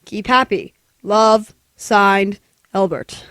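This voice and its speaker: A woman's voice: medium pitch, drawn-out words, fairly bright tone, no echo. A woman playing a businesslike telegraph clerk with a formal, detached delivery in a 1940s-style radio play. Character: businesslike, impersonal telegraph clerk reading out messages.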